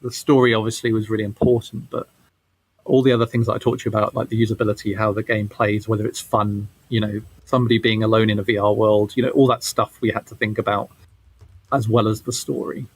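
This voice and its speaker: A man giving casual interview answers as a game developer, halting and often repeating his words. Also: on the low side, little pitch movement, slightly rough, poor audio.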